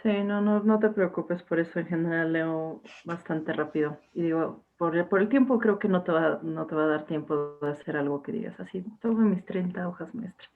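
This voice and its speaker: A woman's voice: on the low side, normal speed, hardly any pauses. A young woman teacher, talking to her class casually, with fillers and restarts over a video call. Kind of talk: casual online class talk. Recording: tinny phone-like line.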